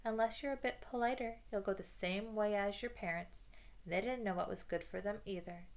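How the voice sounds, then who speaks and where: A woman's voice: mid-range voice, normal speed, lightly acted. A woman voicing a snobbish, sneering schoolboy with a drawling, taunting manner.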